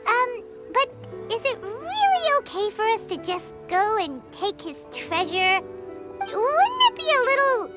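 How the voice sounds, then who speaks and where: A tiny floating fairy girl from an anime game, extremely high and squeaky. The childlike voice bounces all over in pitch and is full of exclamations, with prominent music underneath. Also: very animated, a little slow, exaggerated voice. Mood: puzzled. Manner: exclamatory, speaks of self in third person. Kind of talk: anime-style video game voice acting.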